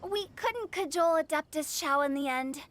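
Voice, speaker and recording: A very high, youthful girl's voice for a game. She is a playful, theatrical host who announces things with sing-song showmanship. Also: animated, normal speed, fairly bright tone, slight background noise. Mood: mock regret. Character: playful teenage girl event host, rhyming and theatrical. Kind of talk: video game voice acting.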